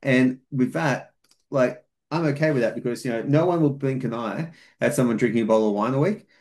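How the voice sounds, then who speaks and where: A man's voice: medium pitch, a little fast, a little mellow. A man speaking as a podcast guest in a casual chat, explaining things methodically at length, with fillers and restarts. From outside New Zealand. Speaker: podcast guest, fitness coach.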